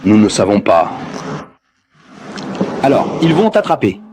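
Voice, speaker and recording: A man's voice: lowish voice, huge pitch swings, measured pace, background noise. A man giving a public lecture on religion, asking the audience rhetorical questions and joking as he goes.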